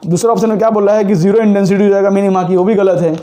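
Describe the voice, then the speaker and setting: A man's voice: high voice, steady tone, rapid-fire, mellow. A man with a sore throat teaching physics, working through exam problems step by step and repeating the key points. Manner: explains step by step, repeats key points, mixes Hindi and English. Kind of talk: solving exam problems on a board.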